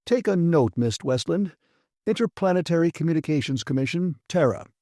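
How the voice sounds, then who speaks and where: A blustering, self-important station director from a science fiction audiobook, acted out by a man. He is officious and demanding, speaking in a lifted, pompous voice with soft-edged tone and very little room sound. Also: a little high, expressive, brisk, dry recording, clean studio sound, pitched above the performer's own voice, lightly acted. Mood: officious, offended. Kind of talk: dramatised audiobook reading.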